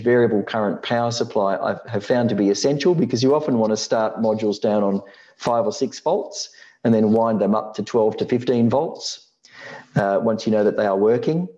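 A middle-aged man giving an explanatory slide talk in a measured, matter-of-fact way, on a dull lo-fi microphone. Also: fairly low pitch, warm tone. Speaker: presenter of an electronics building tutorial.

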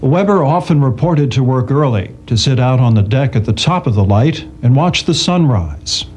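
A man's voice: medium pitch, expressive, normal speed, a little mellow, breathy edge. A man reading scripted narration as a documentary narrator.

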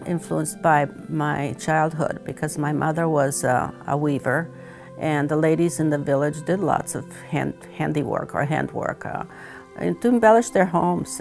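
A woman, a retired teacher and weaver, speaking thoughtfully with many pauses and fillers in a seated interview at home. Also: sits low, vocal fry. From Croatia. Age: 70s. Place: home living room, indoors.

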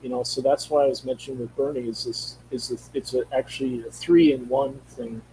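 Middle aged minnesota guy on a call, mellow low voice, keeps restarting, bit of hum. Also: steady tone, fairly quick, rough recording. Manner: rambling, searching for words, many restarts. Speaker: guest. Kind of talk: casual conversation. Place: home room. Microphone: studio mic on video call.

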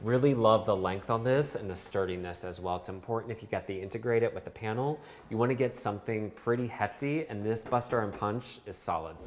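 A man's voice: on the low side, brisk, few pauses. A man in his thirties hosting a casual home walkthrough, talking to viewers from inside an apartment. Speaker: house tour host, renovator. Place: indoors, in an apartment.

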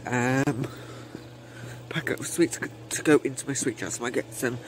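A man's voice: mid-range voice, steady tone, moderate pace. A British teenage man chatting casually for a vlog in a hesitant, rambling way. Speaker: student vlogger. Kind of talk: casual day-in-the-life vlog chat. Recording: clearly noisy amateur handheld recording.

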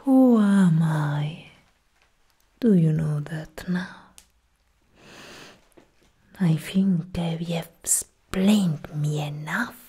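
An imperious goddess of war, played by a woman, whispers in an ASMR roleplay as a menacing would-be queen. Her voice is very breathy and dark, close on the mic. She speaks extremely slowly and deliberately, as if every word is a command. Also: low voice, full character voice. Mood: condescending, menacing. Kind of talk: soft-spoken ASMR roleplay.

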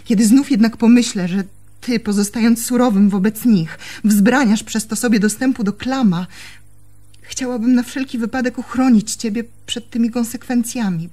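A troubled young woman in love, played by an actress in a radio drama. Her voice sits high, and she confides in an intimate, brooding, literary way, haunted and anxious. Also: normal speed, dark tone, soft background music, natural voice. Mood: agitated, torn. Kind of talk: Polish radio play acting.

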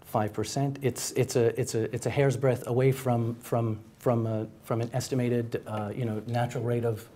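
Mellow, halting middle-aged man, economic analyst. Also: medium pitch, flat delivery. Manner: halting, repeats words as he goes. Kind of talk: panel interview analysis. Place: TV news studio. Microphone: lapel mic.

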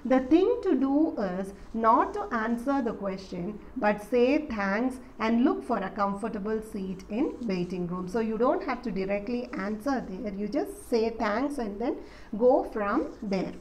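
A woman's voice: fairly high pitch, animated, a little slow, slight background noise, low-quality recording. A woman, teaching a college lesson and explaining an essay step by step with many fillers. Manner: explanatory, repeats points with fillers. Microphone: voice-over on slides.

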